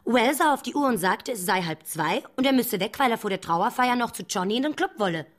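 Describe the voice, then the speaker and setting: A woman's voice: fairly high pitch, lively intonation, brisk. Matter-of-fact female witness. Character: matter-of-fact young girlfriend of a murdered man, questioned. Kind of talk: radio crime drama.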